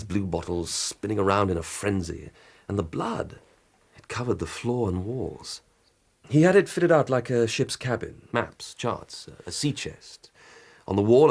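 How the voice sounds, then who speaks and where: An actor playing an earnest, proud police detective in a radio drama, formal and methodical, with a dramatic way of telling things. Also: male voice, fairly low pitch, slightly rough. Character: earnest, proud male police detective reporting a grisly murder.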